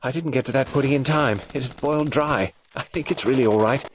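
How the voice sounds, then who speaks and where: A man's voice: medium pitch, brisk, slight background noise, voice pushed up, close to an everyday voice. A man voicing a scatty, cheerful, blithely unbothered housewife in an audiobook.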